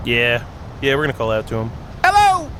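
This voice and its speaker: A man's voice: medium pitch, fast talker, slight background noise. A man hosting a gaming video, reading aloud and breaking off for playful, jokey commentary. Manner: self-interrupting, jokey, animated.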